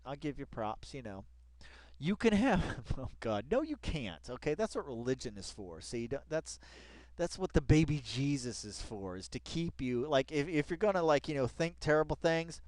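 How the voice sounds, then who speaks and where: Guy doing casual read-along commentary, pitch all over the place, keeps interrupting himself, mock dramatic, dry sound. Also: no room sound. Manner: rambling, exclaiming, self-interrupting asides. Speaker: online commentator. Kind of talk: casual commentary while reading an article aloud.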